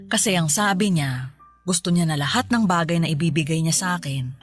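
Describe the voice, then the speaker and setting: A woman's voice: low voice, lively intonation, brisk, soft background music. A woman with a Filipino accent playing a middle-aged mother in a radio drama, affectionate and teasing in a conversational way. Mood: teasing, fond. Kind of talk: Tagalog radio drama acting.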